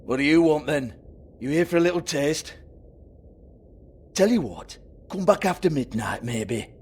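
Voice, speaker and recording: A man's voice: a little high, expressive, unhurried, breathy edge. An actor playing a bullying, sleazy male brothel boss in a video game, swearing, mocking and berating. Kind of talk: video game cutscene acting.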